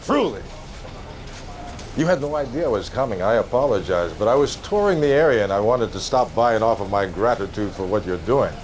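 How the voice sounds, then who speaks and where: An actor playing a self-assured politician in a video game cutscene, speaking in a polished, emphatic, oratorical way. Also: male voice, lowish voice, lively intonation, fairly quick, slight background noise, close to an everyday voice, poor audio. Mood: gracious. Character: smooth, self-assured male politician, acting military director.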